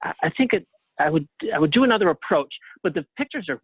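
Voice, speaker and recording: A man speaking as an interview guest in a casual, thoughtful, halting way over a tinny phone-like connection. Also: on the high side, expressive, husky, no echo. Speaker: interview guest, playwright and artist. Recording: narrow tinny phone-like line.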